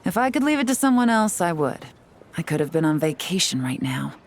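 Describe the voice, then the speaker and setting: Composed female spy, lowish voice, calm measured delivery, soft game music. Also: a little hiss or hum. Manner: calm, measured, dryly explanatory. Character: cool, composed female intelligence agent. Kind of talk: video game voice acting.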